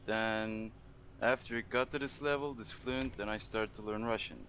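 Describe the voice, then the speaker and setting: A man's voice: lowish voice, steady tone, normal speed, a bit bright, a little hiss or hum. A man with a Turkish accent chatting casually over in-game voice chat, apologetic and searching for words. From Turkey. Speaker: game server player.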